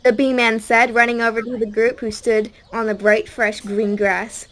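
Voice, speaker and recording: A woman's voice: on the high side, flat delivery, moderate pace, a bit bright. A woman reading a scripted audiobook story as a dramatic narrator.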